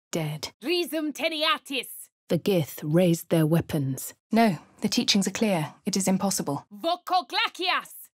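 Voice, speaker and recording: Posh English heroine, husky. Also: female voice, mid-range voice, dry recording. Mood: incanting. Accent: posh southern English. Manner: chants spell incantations loudly. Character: posh young woman adventurer, plucky and determined. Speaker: player character voice. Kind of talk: video game voice lines. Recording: dry studio recording.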